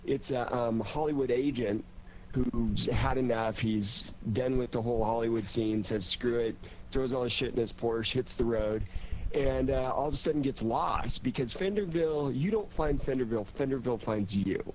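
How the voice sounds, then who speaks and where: A man's voice: medium pitch, normal speed, a little mellow, dull audio. Man rambling enthusiastically over background hum. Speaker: writer and creator of a radio drama series. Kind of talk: casual conversational interview talk. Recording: noisy recording with background hum.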